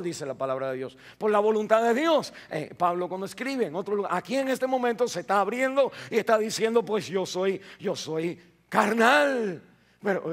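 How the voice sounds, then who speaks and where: High-voiced pastor preaching with rising bursts. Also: male voice, fairly bright tone. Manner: preaching with rising bursts of emphasis, frequent repetitions and restarts. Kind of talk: sermon. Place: church sanctuary.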